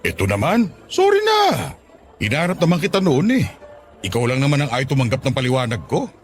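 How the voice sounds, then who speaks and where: An actor playing an eager, coaxing middle-aged ex-boyfriend in a radio drama. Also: male voice, mid-range voice, brisk. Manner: coaxing, excitable. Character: pleading middle-aged male ex, cheeky and eager. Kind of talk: Tagalog radio drama acting. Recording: music bed behind voice.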